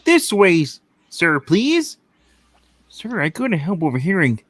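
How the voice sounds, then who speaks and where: A man's voice: high-pitched, very animated, slow pace, a bit bright, slight background noise, full character voice. A man playing a meek, eager, put-upon clerk, timid and deferential, in a theatrical radio play reading. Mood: eager, flustered.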